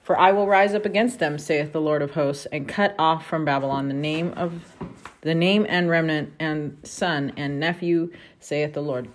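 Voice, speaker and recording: A woman reads scripture aloud for her family in a low-pitched voice. She keeps a steady, careful read-aloud pace and stops briefly to correct a word. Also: moderate pace. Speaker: family member reading scripture.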